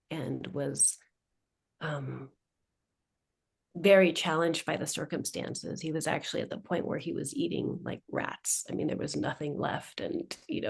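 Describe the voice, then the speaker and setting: A woman in her forties who is a coach and a guest on an interview, telling her family story in a thoughtful, measured way. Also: low-pitched, vocal fry, darkish tone. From United States. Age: 40s.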